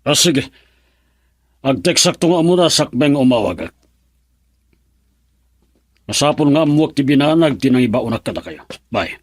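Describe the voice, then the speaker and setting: Man playing a husky, animated Filipino father. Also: mid-range voice, measured pace, long pauses, dry recording. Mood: wary, businesslike. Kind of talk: Ilocano radio drama acting.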